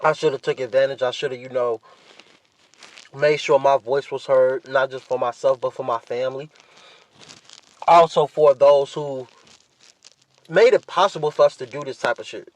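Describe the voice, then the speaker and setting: A man's voice: mid-range voice, steady tone, normal speed, pauses often, no echo. An American man, youngish, chatting casually on a solo podcast in a laid-back, rambling way with plenty of swearing. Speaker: podcast host.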